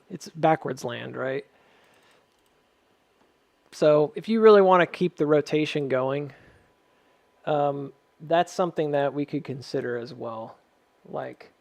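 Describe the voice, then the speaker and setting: A man with a slightly high voice talks through market analysis in a casual, off-the-cuff way. He hedges often, reasons aloud and checks in with his listeners. The sound is very dry, on a close mic. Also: vocal fry, darkish tone, dry recording. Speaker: host of a stock chart analysis video. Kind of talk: casual unscripted market commentary.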